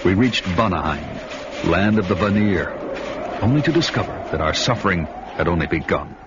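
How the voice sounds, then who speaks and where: A man's voice: low voice, lively intonation, moderate pace, noisy background. An actor playing a grown barbarian telling his own story in grave, dramatic radio narration. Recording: dull old radio audio, loud music.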